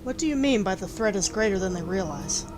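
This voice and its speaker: A woman's voice: medium pitch, expressive, fairly quick, over music, natural voice. A woman voicing an earnest, direct young elven warrior in a game, terse and questioning. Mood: inquiring. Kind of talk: fan-voiced video game protagonist. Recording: noisy amateur microphone.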